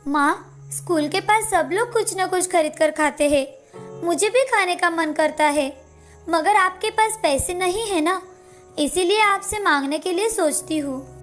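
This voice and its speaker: A woman's voice: extremely high pitch, measured pace, a bit bright, soft background music, voice pushed up. A woman voicing a poor, timid, earnest little schoolgirl in a children's cartoon story, innocent and plaintive. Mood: wistful.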